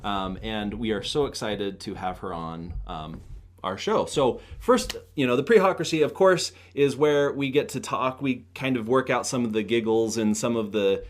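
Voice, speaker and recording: A man's voice: on the low side, moderate pace. Eager male podcast co-host. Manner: eager, many fillers and restarts. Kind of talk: casual podcast chat.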